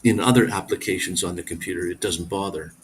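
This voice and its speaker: A man's voice: low voice, fast talker, slight background noise. A middle-aged man hosting a casual live stream, rambling as he reads viewer comments aloud and answers them.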